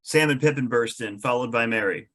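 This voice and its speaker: Male narrator, average pitch, reading stage directions for a script table read. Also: brisk, sharp tone.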